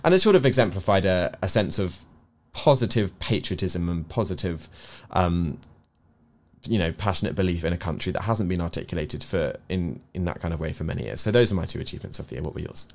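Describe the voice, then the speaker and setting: A man with an English accent speaks in a low register. He talks as a co-host on a news review, setting out his views earnestly. His delivery is conversational and explanatory, with careful hedging. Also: animated, brisk, gravelly, darkish tone. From England. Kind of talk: conversational political discussion.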